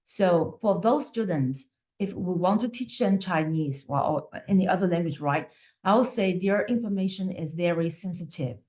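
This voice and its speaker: A woman whose first language is not English, giving a teaching presentation over a video call in a conversational way, often checking in with "right?" and pausing on fillers. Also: fairly low pitch, animated, dark tone. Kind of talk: webinar presentation.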